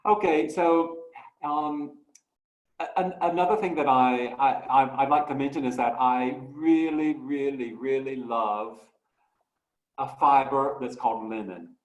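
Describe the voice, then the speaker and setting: A man presenting his artwork in a talk, speaking slowly and reflectively with many fillers and restarts. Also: medium pitch, steady tone, echoey room, poor audio. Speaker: guest artist.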